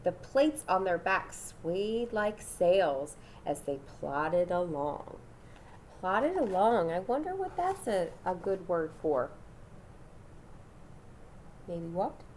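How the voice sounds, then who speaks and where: A woman's voice: lowish voice, sing-song, slow, a little mellow, a little hiss or hum, poor audio. A woman reading a children's storybook aloud dramatically, with asides and questions to her young listeners. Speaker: storybook reader.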